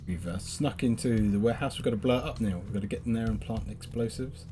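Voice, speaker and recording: A man's voice: on the low side, fast talker, mellow, soft background music. A man giving casual commentary as he plays a game and hosts the playthrough. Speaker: gamer, show host.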